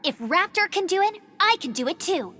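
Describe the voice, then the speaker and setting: A woman voicing an eager, proud young female scout in a game, energetic and quick to scold. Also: very high voice, slight background noise, full character voice. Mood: determined.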